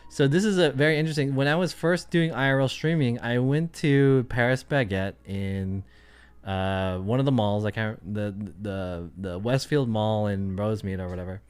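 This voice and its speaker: A man's voice: fairly low pitch, normal speed, clear, resonant tone. A man chatting casually on his livestream, rambling and thinking aloud with lots of fillers. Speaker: streamer.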